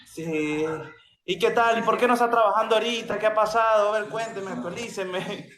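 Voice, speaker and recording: A man's voice: high-pitched, normal speed, crowd noise. A man with a Venezuelan accent chatting casually as he hosts and asks questions. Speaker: host, creative dog groomer. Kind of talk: casual chat. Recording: echoey room with people heard around.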